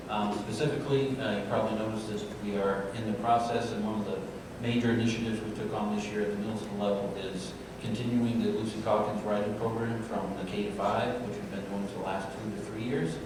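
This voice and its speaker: A man school principal presenting at a school board meeting, halting and full of fillers. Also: fairly low pitch. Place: school auditorium. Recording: echoey hall from far off, noisy.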